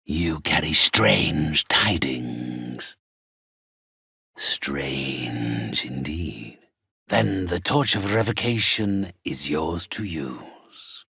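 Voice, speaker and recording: An actor playing a sinister, haughty undead necromancer in a video game, with a raspy voice and formal, drawn-out, menacingly polite delivery. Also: male voice, rumbling low, animated, bright, forceful tone, no echo, clean studio sound, heavily acted. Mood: ominous. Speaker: spirit medium. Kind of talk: theatrical video game villain acting.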